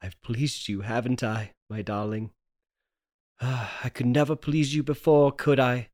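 A man acts out a doting husband in an old horror radio play. He is frantic and guilt-ridden, pleading in an overwrought, theatrical way, with a middling pitch. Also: fairly even intonation, a little slow, darkish tone, lightly acted. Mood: hushed, obsessive. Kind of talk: 1930s horror radio play table read.

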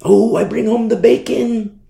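A puffed-up macho man boasts in a raised, pushy singsong, as a preacher mocks him. The voice sits well above the speaker's own and comes out louder. A roomy echo hangs around it. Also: very high-pitched, fairly even intonation, a little slow, vocal fry, pitched above the performer's own voice, exaggerated voice. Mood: boastful. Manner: bragging, mocking singsong. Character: boastful macho man, young-ish, self-important.

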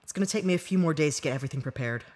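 An actress playing a brash, cunning, cool-headed disgraced ex-narc in an audio drama. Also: female voice, sits low, very fast, a little mellow, lightly acted. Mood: calm, scheming.

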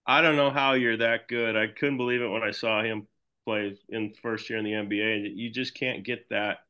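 Bright-voiced middle-aged man, rambling. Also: on the low side, moderate pace, smooth, highs cut off. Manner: relaxed, rambling, repeats words. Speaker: baseball team general manager. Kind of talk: casual interview answers.

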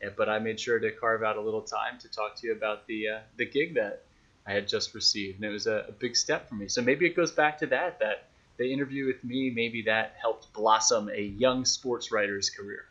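A man, a sports announcer being interviewed, chatting in a dry, measured, tongue-in-cheek way on slightly lo-fi audio. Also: on the low side, fairly even intonation, a bit bright. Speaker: podcast guest, hockey radio play-by-play announcer. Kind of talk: casual interview chat.

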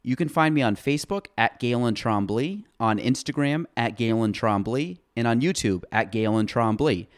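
A man's voice: mid-range voice, expressive, moderate pace, few pauses. A young man hosting a podcast, chatting casually and rambling with lots of fillers. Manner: rambling, filler-heavy, jokey. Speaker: podcast host. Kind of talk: casual interview chat. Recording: echoey room.

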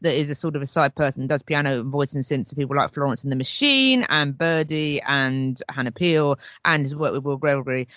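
Guy co-hosting a podcast, voice a bit high, gushing and rambly, dry dull mic. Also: fairly bright tone, no room sound. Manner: enthusiastic, rambling, many restarts. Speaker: podcast co-host. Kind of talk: casual chat.

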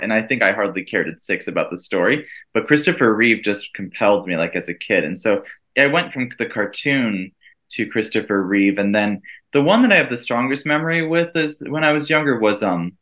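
Bright-voiced earnest man in his thirties. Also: medium pitch. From United States. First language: Italian. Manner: earnest, reflective, laughs lightly. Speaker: guest, event co-director and teacher. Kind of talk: casual interview conversation.

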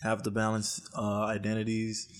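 A man's voice: fairly low pitch, monotone, normal speed. Young man musing, faint noise. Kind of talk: casual chat among friends.

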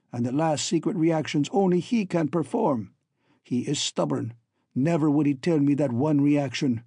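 A man's voice: fairly high pitch, mellow, breathy edge, no echo, full character voice. A man voicing a falsely friendly, scheming hunchback villain in a story, oily and ironic, with stilted foreign-sounding phrasing. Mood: scornful, insistent.

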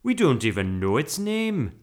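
A man's voice: mid-range voice, big pitch swings, moderate pace, pitched above the performer's own voice, natural voice. A man voicing a mild, obliging, slightly flustered father in a children's storybook, politely emphatic. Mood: doubtful.

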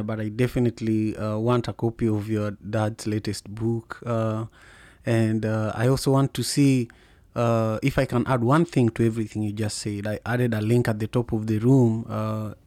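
Man, co-host and organizer: relaxed mellow tone, level pitch, halting informal explanations. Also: no echo. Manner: halting, pads phrases with "you know". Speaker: group trip organizer and co-host.

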